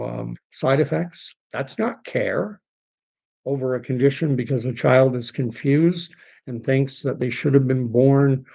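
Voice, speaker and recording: A middle-aged man hosting a late-night livestream, rambling and opinionated as he reads and answers viewer chat, his voice tired and getting hoarse. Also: medium pitch, warm tone. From Canada. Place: home streaming setup.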